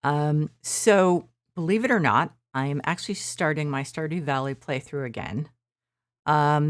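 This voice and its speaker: A woman streaming a farming game from her shared living room, rambling softly with many fillers. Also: low-pitched, clear, resonant tone. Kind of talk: casual gameplay commentary.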